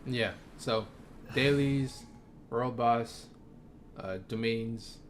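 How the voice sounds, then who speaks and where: A man's voice: mid-range voice, drawn-out words. A man streaming a video game, thinking aloud in casual, rambling commentary full of fillers and hesitations. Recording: noisy background ambience.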